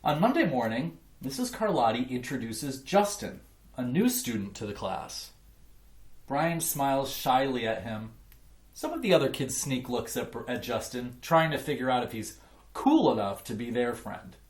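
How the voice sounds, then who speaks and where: A man reading a children's picture book aloud in an expressive, emphatic way, like a teacher. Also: mid-range voice, normal speed. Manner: emphatic, acts out dialogue. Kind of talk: expressive children's storybook narration.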